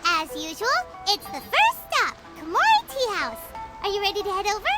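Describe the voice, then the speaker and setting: A woman voicing a tiny, chatty, childlike fairy companion with a squeaky voice in an animated video game. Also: normal speed, exaggerated voice. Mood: upbeat. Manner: refers to self in third person, exclaiming. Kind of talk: animated game character acting. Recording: music playing behind the voice.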